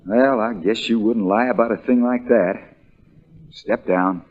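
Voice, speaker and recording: An actor playing a shrewd, folksy American country lawyer, relentless in questioning, in the style of 1940s radio drama. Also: male voice, fairly low pitch, a little slow, phone-quality sound, heavy background noise, natural voice. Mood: dry, folksy. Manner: drawling folksy understatement that builds into hammering accusation.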